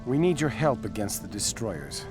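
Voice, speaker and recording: An actor playing a wily, sardonic little gnome-like creature in a fantasy video game, dry and mocking. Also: male voice, fairly low pitch, very animated, normal speed. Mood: flattering, urgent. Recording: faint music underneath.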